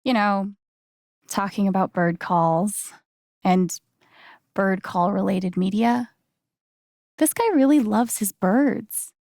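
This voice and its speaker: Casting: young female game lead, new in town, friendly and dryly funny, natural delivery, medium pitch, close dry recording. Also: slow pace, long pauses, a little mellow, no room sound. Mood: casual, curious. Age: young adult. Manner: casual, dryly joking, conversational. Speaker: video game voice actress.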